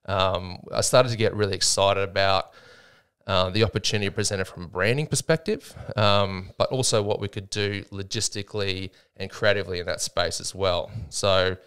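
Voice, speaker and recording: A chatty Australian man in his thirties, recorded close on a studio microphone in a small podcast studio. His voice sits fairly low and comes through clear and smooth, and he laughs often and leans on fillers as he tells the story. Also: steady tone, moderate pace, fairly bright tone. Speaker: gym co-owner, guest. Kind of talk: casual storytelling interview. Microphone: studio mic with headphones.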